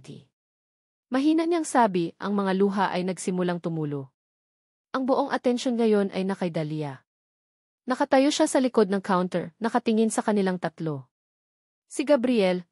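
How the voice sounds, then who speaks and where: A woman's voice: mid-range voice, a little mellow, dry recording, studio quality. A woman reading a dramatic scripted story as its narrator.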